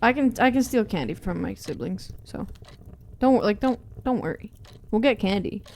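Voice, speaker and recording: A woman's voice: mid-range voice, animated, moderate pace, a little mellow. A woman playing a tabletop game, chatting casually in character with the group. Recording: faint background room noise.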